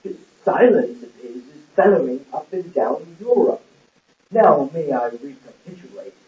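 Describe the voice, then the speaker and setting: Man playing scheming male court official, smooth, measured, formal, insistent, stage drama, distant microphone, muffled lo-fi sound. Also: fairly high pitch, lively intonation. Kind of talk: stage-play acting in an amateur puppet show. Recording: roomy, muffled, distant lo-fi recording.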